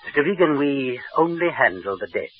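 An actor playing a fussy, ghoulish middle-aged undertaker who talks in a prim, unctuous, sing-song way, in a 1940s radio drama. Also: male voice, mid-range voice, animated, a little fast, slight background noise, lightly acted. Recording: dull 1940s radio recording.

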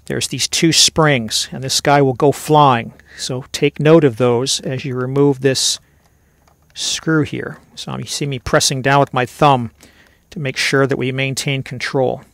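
Casting: middle-aged male tutorial host, conversational and methodical, creaky mid-pitched voice. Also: steady tone, measured pace, fairly bright tone, no room sound, studio quality. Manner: conversational, step-by-step explaining. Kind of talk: casual hands-on instructional narration.

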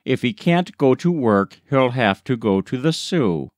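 A man's voice: medium pitch, expressive, measured pace, dry recording, studio quality, lightly acted. A man reading a storybook voices an ill-humored, unyielding logging camp foreman. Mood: curt, stern.

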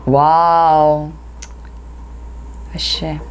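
A woman with a Korean accent, a native Korean speaker, giving casual reaction commentary in short exclamations and simple halting phrases. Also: low voice, level tone. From South Korea. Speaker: reaction video host. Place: small room indoors. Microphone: lapel mic. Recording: music playing loudly behind the voice. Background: loud music from the cartoon being watched.

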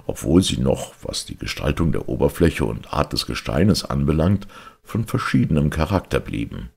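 A man with an extremely low voice narrates literary prose. His tone is husky and full of creak, and he reads in a measured, deliberate way. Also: darkish tone. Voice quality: gravelly, husky. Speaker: audiobook narrator. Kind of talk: literary audiobook reading, scripted narration.

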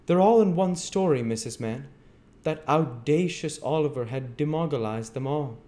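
A man voicing a pompous, angry parish beadle in a storybook, speaking with loud, imperious self-importance. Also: fairly high pitch, lively intonation, measured pace, pitched above the performer's own voice.

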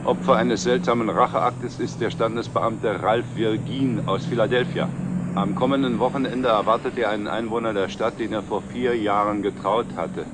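An actor playing a director's companion in an old television drama, reading news aloud in a matter-of-fact way. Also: male voice, on the low side, steady tone, moderate pace, lightly acted. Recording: very noisy old soundtrack, car noise.

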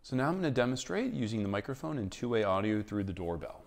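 Guy in his 30s doing a tech how-to video, voice a bit on the low side. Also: expressive, quick pace, gravelly. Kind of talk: explanatory tech tutorial, presenting to camera and in voice-over.

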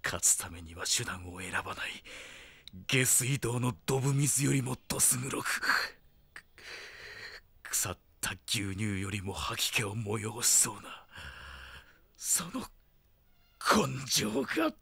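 An actor playing a tough young male delinquent hero in an anime audio drama, gruff and terse, with rough street talk and a husky voice. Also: mid-range voice, sing-song, takes it slowly, long pauses, bright, forceful tone, no room sound, full character voice. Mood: contemptuous, angry.

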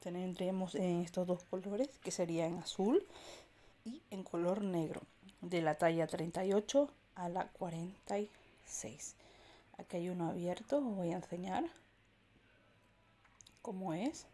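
A woman from walking through a supermarket aisle, filming on a handheld phone and reading out prices and sizes in a steady listing way. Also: fairly low pitch, a little slow, pauses often. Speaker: shopping vlogger. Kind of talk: walk-through product rundown. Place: indoor discount supermarket aisle. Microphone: handheld phone camera, filming while browsing.

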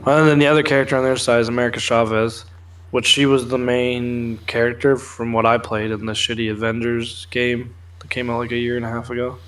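A young man talks as co-host of an informal entertainment news show. His pitch is middling and stays quite flat. He explains things in a casual, sweary way, sitting in a room at home. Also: fairly quick. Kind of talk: casual chat, explaining film trailers and comics.

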